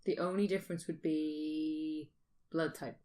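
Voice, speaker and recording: A woman's voice: low-pitched, steady tone, unhurried, mellow, slight background noise. A British woman in casual conversation, musing informally and thinking aloud as a podcast host. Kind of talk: casual speculative chat.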